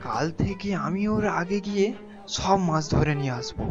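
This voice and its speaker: A man's voice: high voice, lively intonation, normal speed. A man voicing a greedy, crafty village fishmonger in a cartoon folk tale, grudging and sarcastic. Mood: gleeful scheming. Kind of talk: animated folk tale voice acting. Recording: dull lo-fi sound with music behind.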